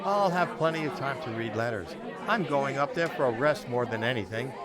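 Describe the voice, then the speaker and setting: A man's voice: medium pitch, a little fast, natural voice. A man in amateur radio theater playing an eager, unsuspecting traveler in a conversational way. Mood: cheerful, dismissive. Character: eager, unsuspecting male traveler boarding a spaceship. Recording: loud crowd hubbub behind.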